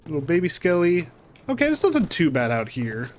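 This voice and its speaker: A man's voice: fairly high pitch, huge pitch swings, brisk, slight background noise. Man with a cold narrating gameplay. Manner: chatty, swears casually, talks to enemies on screen. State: fighting a cold. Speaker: gaming channel host. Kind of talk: casual let's-play commentary.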